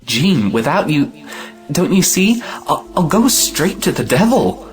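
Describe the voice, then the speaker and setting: An actor playing an impetuous, possessive young gentleman suitor in an audio play, theatrical and emphatic. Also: on the high side, sing-song, measured pace, soft background music. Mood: desperate, determined. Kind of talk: audio play acting of a 1910s stage comedy.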